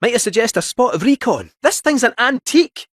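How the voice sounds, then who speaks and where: Small robotic cat, Scottish accent, high animated pitch swings, chirpy and wily, folksy game dialogue, dry close recording. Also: male voice, fairly quick, dry recording, exaggerated voice. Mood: cheerful, eager. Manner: theatrical, folksy, chatty. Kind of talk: scripted video game character dialogue.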